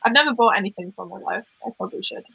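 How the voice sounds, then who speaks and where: A woman's voice: medium pitch, rapid-fire, gravelly, sharp tone, slightly rough, no echo, rough recording. A young woman from Wales with a light Welsh accent, Welsh her first language, chatting casually on a live stream with ums and giggles. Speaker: host.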